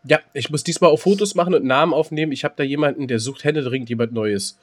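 A man's voice: a little high, quick pace. A man co-hosting a podcast in casual banter, chatty and slangy, hopping between half-finished sentences. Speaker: podcast co-host.

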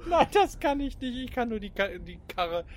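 A man's voice: very high voice, sing-song, normal speed. A man hosting a podcast in casual comic chat. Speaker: podcast host.

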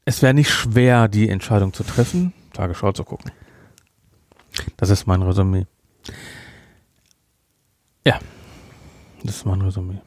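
Man with a gravelly, mellow voice. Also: fairly low pitch, a little slow, long pauses, dry recording, studio quality. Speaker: podcast host. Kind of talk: casual review chat.

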